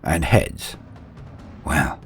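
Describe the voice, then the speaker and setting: A man's voice: very slow, fairly bright tone, soft background music. A man narrating a comedic fantasy story in a mock-epic, dramatic storytelling manner.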